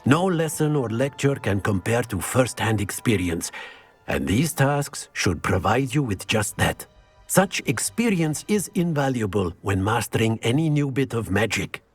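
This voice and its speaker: A man's voice: medium pitch, big pitch swings, unhurried, breathy edge. Jovial male magic teacher for a fantasy game, swooping intonation, emphatic and flourished, music underneath. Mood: encouraging, brisk. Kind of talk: video game character acting.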